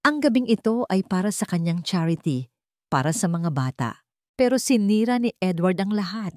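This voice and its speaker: A woman's voice: medium pitch, expressive, normal speed, darkish tone, no room sound, clean studio sound. A woman narrator reading a scripted romantic drama in a dramatic storytelling style.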